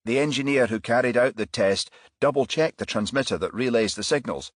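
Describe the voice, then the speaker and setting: A man's voice: mid-range voice, lively intonation, a little fast, no echo, studio quality, pitched above the performer's own voice, lightly acted. A man voicing an eager, deferential junior detective who reports promptly in clipped phrases, in a crime audiobook. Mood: eager, reporting.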